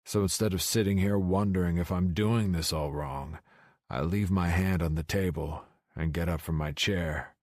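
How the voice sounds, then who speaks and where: A man's voice: low voice, moderate pace, a little mellow, no room sound, clean studio sound. A man reading scripted first-person romance narration as an audiobook narrator.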